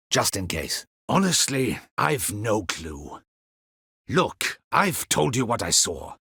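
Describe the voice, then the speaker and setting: An actor playing a proud, stern captain of a fantasy ducal guard in a video game, speaking formally in clipped, commanding, archaic courtly phrases. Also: male voice, fairly low pitch, fairly bright tone, slightly rough, no room sound, clean studio sound, full character voice. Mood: curt, guarded, then dry, irritated. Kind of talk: dramatic video game voice acting.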